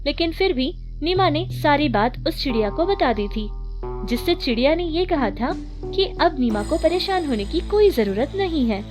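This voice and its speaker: High-pitched woman narrating children's stories. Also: animated, normal speed. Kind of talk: scripted children's story narration. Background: music.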